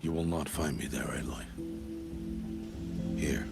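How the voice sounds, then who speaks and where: An actor playing a stern, solemn older outcast mentor in a video game, speaking in a measured, grave and restrained manner. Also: male voice, heavily acted. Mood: grave, sorrowful. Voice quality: deep, gravelly. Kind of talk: dramatic video game acting. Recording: music behind the voice.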